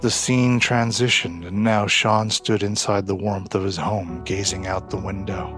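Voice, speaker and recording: A man tells a dramatic story as its narrator. His voice sits fairly low, with a solemn, deliberate delivery. Music plays clearly behind him. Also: steady tone, a bit bright. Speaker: narrator and writer of audio drama.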